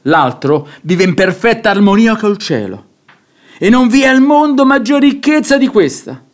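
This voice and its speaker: Blustering nobleman father, high-pitched and swooping. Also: lively intonation, normal speed. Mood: stubborn, gushing. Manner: blustering, imperious, exasperated. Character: stubborn, pious, domineering middle-aged nobleman father. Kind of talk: theatrical radio comedy acting.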